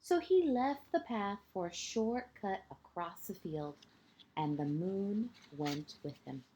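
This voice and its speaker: A woman reads a children's storybook aloud in the manner of a kindergarten teacher. Her delivery is slow and deliberate, with highly varied intonation. She leaves dramatic pauses and leans on key words for young listeners. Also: lively intonation, warm tone, a little hiss or hum. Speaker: transitional kindergarten educator reading a picture book to pupils.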